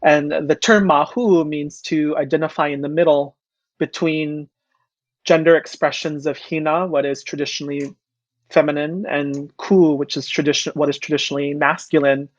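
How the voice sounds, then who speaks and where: A speaker from Hawaii whose first language is Hawaiian, a choral artist answering interview questions thoughtfully, with frequent pauses and restarts. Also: medium pitch, fairly even intonation, dry recording, lo-fi sound. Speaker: choral artist, podcast guest. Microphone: video call.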